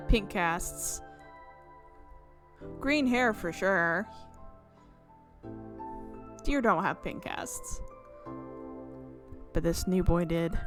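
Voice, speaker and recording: A woman's voice: lowish voice, animated, very slow, long pauses, fairly bright tone. A woman game streamer reading visual novel narration aloud, breaking off into chatty, exclamatory asides. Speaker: gaming channel host. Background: game music.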